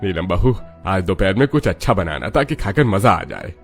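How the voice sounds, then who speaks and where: A man's voice: low voice, sing-song, moderate pace, soft background music. A man voicing an older, content, food-loving father-in-law in a cartoon family drama.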